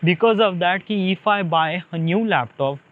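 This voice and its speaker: Young Indian man, high-pitched, thin phone-like sound. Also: normal speed. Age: young adult. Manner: hesitant, repetitive, searching for words. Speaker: solo podcaster. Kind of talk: casual rambling monologue. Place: outdoors beside a highway, walking.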